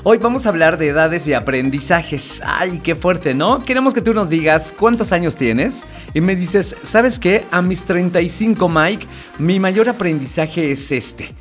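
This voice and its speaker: Give me a Mexican man hosting a chatty morning radio show. His voice sits a bit high, he speaks straight to listeners, and soft music runs behind him in the studio. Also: lively intonation, unhurried. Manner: chatty, addresses listeners directly, repeats phone numbers. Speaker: radio host. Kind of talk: casual morning radio talk with promos.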